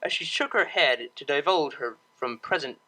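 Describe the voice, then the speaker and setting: Bright, animated story narrator. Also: mid-range voice, moderate pace, poor audio. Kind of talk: dramatic fan fiction audiobook narration.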